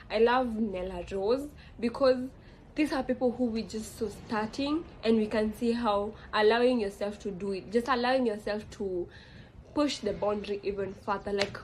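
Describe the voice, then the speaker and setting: A woman's voice: medium pitch, a little mellow, a little hiss or hum, rough recording. A young woman with a Kenyan accent talks casually, rambling with lots of fillers and restarts. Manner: rambling, frequent fillers and self-corrections. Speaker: vlogger. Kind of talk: casual reflective monologue.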